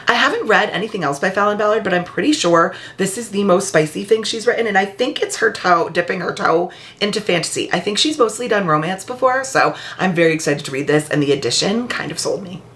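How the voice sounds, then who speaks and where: A woman book vlogger talking in a casual, heartfelt way, her voice a little raspy and hoarse after being ill. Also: medium pitch, expressive, brisk, a bit bright. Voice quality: slightly raspy. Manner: chatty and heartfelt. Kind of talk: casual vlog chat. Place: upstairs room at home.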